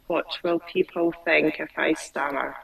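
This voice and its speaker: A woman client who stutters, slowly repeating phrases after her coach over a video call. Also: low voice, fairly even intonation, creaky, far from the mic, low-quality recording.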